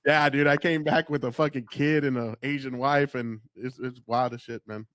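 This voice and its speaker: A man's voice: mid-range voice, steady tone, moderate pace, a bit bright, no room sound. A man, a podcast guest talking loosely and profanely, full of dude and bro. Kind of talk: casual podcast chat.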